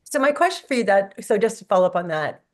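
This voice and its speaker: A woman's voice: mid-range voice, big pitch swings, fast, a little mellow. A woman speaking conversationally as an audience guest putting a question on a talk show.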